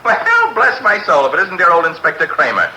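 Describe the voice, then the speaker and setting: An actor playing a breezy, sardonic young detective's assistant, trading flippant teasing lines in 1950s radio style. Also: male voice, sits high, wildly swooping pitch, fairly quick. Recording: vintage 1950s radio broadcast, faint hiss.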